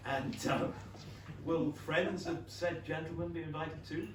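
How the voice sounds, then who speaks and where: A man playing a kindly, dry-humoured older English gentleman, hesitant and understated, in a period stage comedy. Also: a little high, fairly even intonation, a little slow, natural voice. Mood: wry, amused. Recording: distant echoey theatre recording, noisy background.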